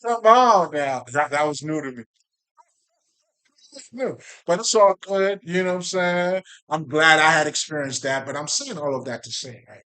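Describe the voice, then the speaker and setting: A man's voice: a little high, lively intonation, moderate pace, pushed and bright. A man from Haiti talking casually and profanely to his viewers in a rambling monologue about spirituality, often breaking off to laugh and repeat himself. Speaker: spiritual video creator.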